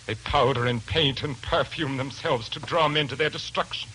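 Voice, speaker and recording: An actor playing a brooding, fanatical lodger in 1940s radio suspense style, formal and intense. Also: male voice, medium pitch, moderate pace, sharp tone, close to an everyday voice. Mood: menacing, fervent. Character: brooding, fanatical male lodger obsessed with evil beauty. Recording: noisy old 1940s radio recording.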